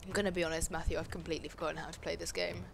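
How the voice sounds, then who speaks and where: A woman co-hosting a let's play, her voice hoarse and phlegmy from a cold. She chats wryly and teases her co-host. A faint hiss of room ambience sits under her voice. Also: on the low side, flat delivery, very fast. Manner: wry, teasing. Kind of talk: casual banter while playing.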